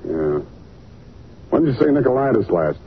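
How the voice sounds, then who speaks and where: An actor playing a terse, sardonic private detective in a 1940s radio drama, clipped and wry. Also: male voice, mid-range voice, big pitch swings, normal speed, husky. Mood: brisk, skeptical. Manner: clipped, wry, unruffled questioning. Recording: scratchy, narrow-band old radio recording.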